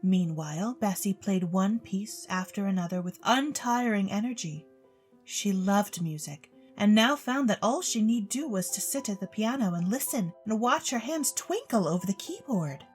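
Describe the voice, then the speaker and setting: A woman's voice: mid-range voice, animated, moderate pace. Woman narrating a storybook over music. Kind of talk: theatrical scripted storybook narration for an audio drama. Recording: music bed under the voice.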